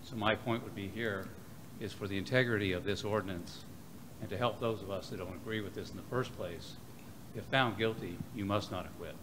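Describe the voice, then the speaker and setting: A man giving an emphatic public comment at a city meeting, with a booming delivery. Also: a little fast. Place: city council chamber. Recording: noisy public meeting audio.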